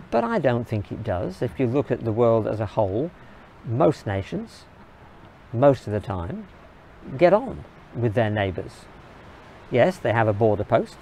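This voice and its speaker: A man from England, giving an earnest, measured opinion monologue in short, halting phrases. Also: mid-range voice, warm tone, slight background noise, rough recording. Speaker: video blogger.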